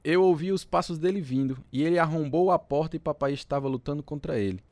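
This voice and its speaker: A man's voice: a little high, fast talker, darkish tone, dry recording, close to an everyday voice. A man playing an agitated, earnest teenage boy in a radio drama. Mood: uneasy.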